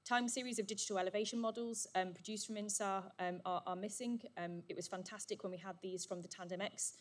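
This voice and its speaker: A woman giving a brisk, upbeat summary at a conference, with frequent "um" fillers. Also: medium pitch, fairly even intonation. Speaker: session chair presenting summaries. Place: conference hall. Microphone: handheld or podium microphone.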